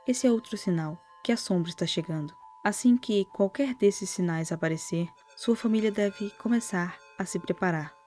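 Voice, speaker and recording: Brazilian female narrator, dark mellow tone, soft-spoken and wry, scripted horror commentary over faint music. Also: on the low side. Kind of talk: whispered-style scripted narration, storytelling commentary.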